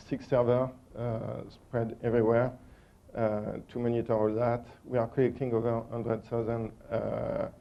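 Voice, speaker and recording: A man with a heavy French accent walks through a technical talk at a conference, pausing often with ums and uhs. His voice has a gravelly, creaky edge. The recording sounds dull and lo-fi. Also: medium pitch. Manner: hesitant, many ums and uhs. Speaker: conference speaker.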